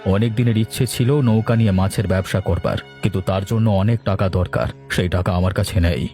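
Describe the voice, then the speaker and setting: A man's voice: low voice, steady tone, a little fast, a little mellow, faint music behind, close to an everyday voice. A man voicing a guilt-ridden, poor village brother in a cartoon, subdued and regretful. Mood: downcast. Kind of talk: cartoon dubbing.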